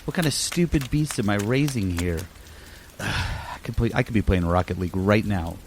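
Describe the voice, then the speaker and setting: A man's voice: fairly low pitch, expressive, moderate pace, heavy background noise. A man playing a put-upon, complaining farmer in an amateur comic radio play. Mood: grumbling. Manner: complaining, exasperated.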